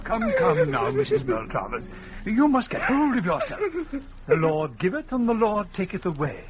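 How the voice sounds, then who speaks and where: An actor playing a brisk, fussy village doctor with a blustering manner, in 1940s radio drama style. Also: male voice, very high voice, huge pitch swings, over a noisy crowd, heavily acted. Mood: soothing, pompous. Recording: very noisy, dull old radio recording.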